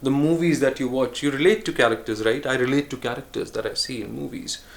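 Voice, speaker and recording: A man vlogging a reflective solo monologue, musing and earnest, with frequent pauses and restarts. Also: mid-range voice, creaky. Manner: earnest, speaks of choosing calm over harshness.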